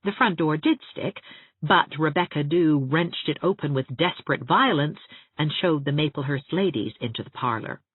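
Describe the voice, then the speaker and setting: A woman's voice: deep voice, normal speed, slightly rough, dry recording, narrow, tinny audio. A woman narrating an audiobook story expressively from a script.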